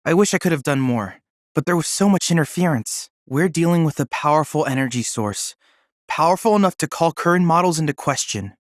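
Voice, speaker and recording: An actor plays an earnest young android boy who works as a researcher in a video game. He speaks in precise, formal, analytical sentences with polite eagerness. His mid-pitched voice moves up and down in an animated way, and it is recorded very dry. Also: fairly quick, dry recording, close to an everyday voice. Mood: regretful, formal. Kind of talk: video game character voice lines.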